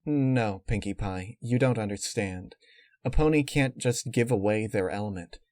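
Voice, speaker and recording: A man voicing a cold, calculating female unicorn villain who explains menace in a measured, matter-of-fact way. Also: lowish voice, a little mellow, no room sound, studio quality, lightly acted. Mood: calm, grave.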